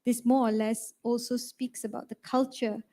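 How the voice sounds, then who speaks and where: A woman hosts the interview in a polite, measured way. Her voice sits slightly high yet sounds mellow and soft. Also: little pitch movement. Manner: polite, measured, summarizing the guest's points. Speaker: host and interviewer. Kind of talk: university webinar interview.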